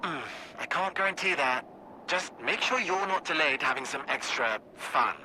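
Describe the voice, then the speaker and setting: An actor playing a brisk, sly military commander in a video game, speaking with wry, forceful confidence. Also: male voice, a little high, expressive, a little hiss or hum. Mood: brisk, decisive. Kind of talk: video game cutscene acting.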